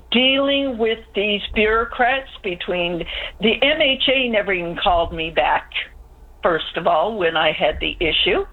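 An older woman, calling in to a radio show and pouring out her frustration in long, pleading runs. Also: a little high. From Newfoundland, Canada. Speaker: caller. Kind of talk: call-in radio complaint. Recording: tinny phone line.

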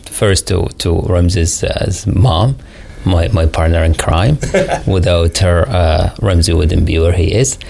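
Gravelly deep-voiced father, halting speech. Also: fairly even intonation, a little mellow. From Saudi Arabia. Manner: halting, many restarts and fillers. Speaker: father of a young champion, guest. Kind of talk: radio interview.

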